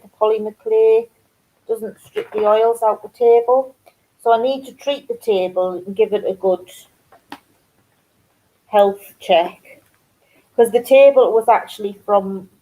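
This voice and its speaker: Chatty Geordie woman, mellow tone. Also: mid-range voice, rough recording. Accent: Geordie mixed with North Yorkshire. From North East England. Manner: chatty, rambling, self-deprecating. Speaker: crafts channel host. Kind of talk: casual chat to live viewers.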